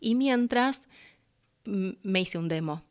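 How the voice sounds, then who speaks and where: Middle-aged female, Rioplatense accent. Casual, expressive conversational storyteller in an interview. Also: mid-range voice, slow pace, smooth. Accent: Argentine Rioplatense. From Argentina. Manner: expressive, chatty, acts out her own past thoughts. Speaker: interview guest, voice actress and announcer.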